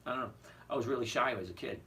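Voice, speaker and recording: A man hosting a casual stock-trading livestream, his voice hoarse and cracking as he chats with viewers and keeps asking "okay?". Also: mid-range voice, very fast, sharp tone. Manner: chatty, repeats "okay?", answers viewers. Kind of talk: casual livestream market commentary. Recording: distant mic with faint background noise.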